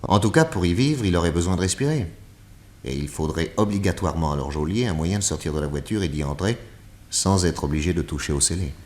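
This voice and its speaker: An actor playing a shrewd, calm male detective in a radio drama, speaking in a low, measured way. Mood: calm, probing.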